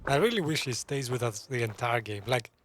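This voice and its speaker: A man's voice: medium pitch, lively intonation, fairly quick, a bit bright, faint music behind. A man giving informal, joking commentary as a gaming streamer during a playthrough.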